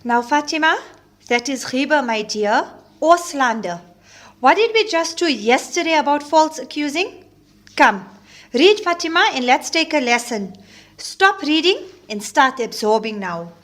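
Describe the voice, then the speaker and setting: High-voiced firm, kindly madrasa teacher. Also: female voice, expressive, a little slow. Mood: gently chiding. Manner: gently chiding, instructive. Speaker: Islamic studies teacher. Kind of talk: scripted audio drama acting.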